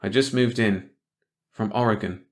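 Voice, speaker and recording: Man voicing a guarded stranger, careful, hesitant, lowish voice, storybook character. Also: steady tone, moderate pace, lightly acted. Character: guarded male stranger from elsewhere.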